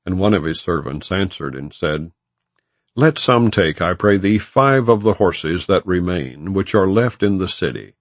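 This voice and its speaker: A man narrating an audiobook of scripture in a solemn, measured, formal, declamatory way. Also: sits low, lively intonation, no echo.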